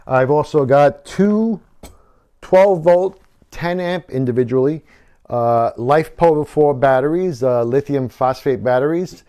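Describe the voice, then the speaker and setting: A man's voice: medium pitch, warm tone. A middle-aged man giving an informal technical walkthrough as a hobbyist presenter, explaining things and often correcting himself as he goes. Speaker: radio hobbyist presenting a DIY build.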